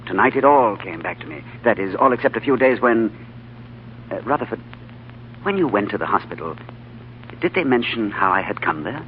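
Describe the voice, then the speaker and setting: An actor playing a quiet, haunted Englishman who is recovering his memory, speaking softly and hesitantly. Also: lowish voice, very animated, moderate pace, long pauses, a little hiss or hum. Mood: pensive. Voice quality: soft, hesitant. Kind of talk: 1930s radio drama acting. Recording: narrow lo-fi old radio broadcast.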